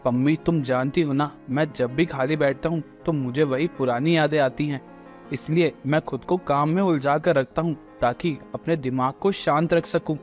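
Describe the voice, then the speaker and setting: A man's voice: a little high, normal speed, soft background music, natural voice. A man playing an earnest truck driver and devoted husband in a cartoon story, talking in a colloquial way. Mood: troubled. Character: adult male truck driver turned cook, devoted husband. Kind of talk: Hindi cartoon story dubbing.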